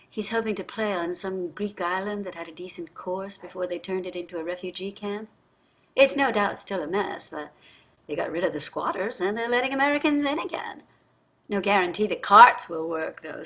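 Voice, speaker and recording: A woman's voice: fairly low pitch, moderate pace, a bit bright. An actress in a staged play reading, playing a wealthy, entitled society wife and mother with an affected, gushing manner. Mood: anxious, gushing. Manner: affected, gushing, effusive endearments.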